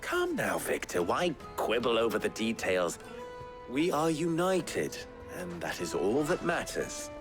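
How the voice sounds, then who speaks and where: A man playing a smug, smooth-talking fantasy leader in a video game, with an arch, teasing, formal manner. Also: medium pitch, wildly swooping pitch, a little slow. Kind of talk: video game cutscene acting. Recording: game dialogue over music.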